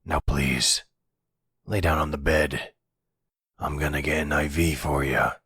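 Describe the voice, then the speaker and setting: A man playing a gruff, weary older mafia boss with a gravelly voice, tender and hesitant, in a roleplay audio drama. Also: very deep voice, steady tone, a little slow, a bit bright, dry recording, clean studio sound, exaggerated voice. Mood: reassuring, tired. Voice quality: gravelly, husky.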